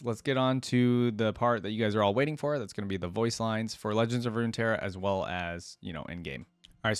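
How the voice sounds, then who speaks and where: A man's voice: on the low side, dry recording. A man giving casual reaction commentary as the host of a video.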